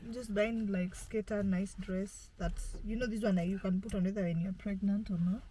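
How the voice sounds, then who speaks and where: केन्या की एक महिला, गाड़ी में अपने पति से खेल-खेल में हँसती-बोलती बातचीत करती है।. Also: female voice, medium pitch, steady tone, normal speed, mellow, a little hiss or hum, rough recording. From Kenya. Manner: playful, laughs often. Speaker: host's wife, co-host. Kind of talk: casual chat in a car.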